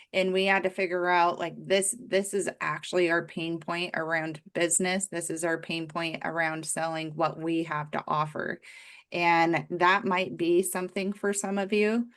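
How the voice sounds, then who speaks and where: A woman coach talks earnestly about faith and pricing, thinking out loud and using many fillers. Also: on the low side. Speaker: business coach. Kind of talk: faith-based business coaching talk.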